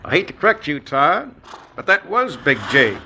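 An actor in a 1950s radio western playing a wry old frontier sidekick, with a gravelly, folksy way of talking. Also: male voice, a little high, sing-song, moderate pace, sharp tone, exaggerated voice. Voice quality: gravelly twang, folksy sayings. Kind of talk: 1950s radio drama acting. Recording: vintage radio broadcast, faint hiss.

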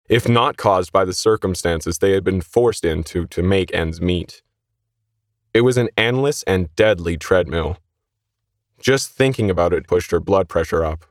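A man's voice: low-pitched, dry recording, studio quality. A man narrating a scripted short story as its reader.

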